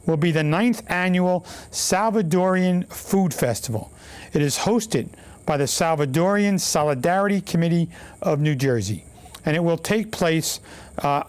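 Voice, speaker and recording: A man hosting a local city talk show, with a voice that sits a bit higher than usual. He reads community announcements in a measured, formal way, with the odd filler. He sounds like a studio recording. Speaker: mayor and show host.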